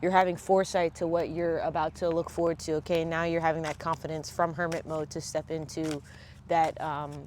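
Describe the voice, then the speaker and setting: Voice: medium pitch, little pitch movement, fairly quick, a little mellow, slight background noise. Congested, blunt-talking tarot reader. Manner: casual, blunt and profane. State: congested from a sinus infection. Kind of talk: casual tarot reading. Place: at home.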